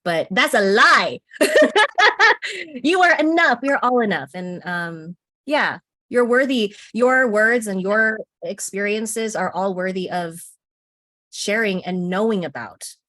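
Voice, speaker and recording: American woman guest, earnest, encouraging, laughs often; mid pitch, dry close sound. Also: animated, slow pace, sharp tone, no room sound. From United States. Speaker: interview guest, contributing author. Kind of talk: casual interview conversation.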